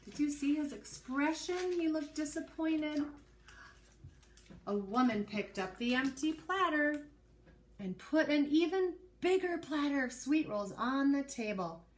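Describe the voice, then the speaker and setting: Slow, high-voiced woman reading to children. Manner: expressive, pausing to explain and ask the listener. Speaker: early learning teacher. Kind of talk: children's storybook read-aloud.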